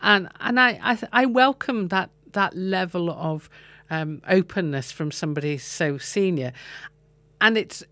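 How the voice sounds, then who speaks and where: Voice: mid-range voice, measured pace, sharp tone, no room sound. A podcast co-host in casual chat, prompting and summarising the other host's points.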